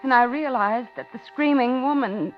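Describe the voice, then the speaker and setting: An actress playing a polite, lonely older widow in a 1950s radio thriller, talking and narrating in a genteel, dramatic way. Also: female voice, on the high side, normal speed, natural voice. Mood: uneasy, haunted. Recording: dull 1950s radio recording, music behind.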